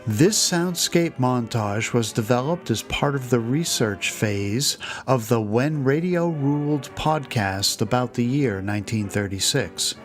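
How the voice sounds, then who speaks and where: A man hosting a podcast, reading a scripted introduction. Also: mid-range voice. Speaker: podcast host.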